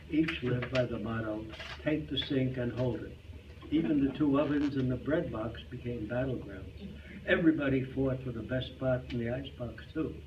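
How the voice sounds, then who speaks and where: A man giving a scripted humorous reading of a narrated story in live performance. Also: medium pitch. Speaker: reader at a reader's theater club. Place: club hall, live performance. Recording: muffled, noisy, distant live hall recording.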